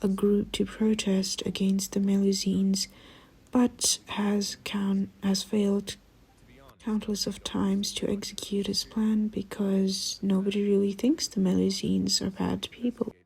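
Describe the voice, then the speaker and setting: A woman narrates a casual game story recap at a slow, unhurried pace. Her voice sits at a middle pitch and has a noticeable vocal fry. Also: level tone, a little mellow, poor audio.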